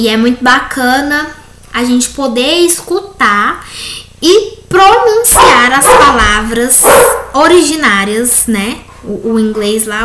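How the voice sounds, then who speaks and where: A Brazilian woman, an English teacher, correcting textbook exercises in a recorded class, reading questions slowly and explaining with frequent tag questions. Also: on the high side. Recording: echoey room, faint background noise.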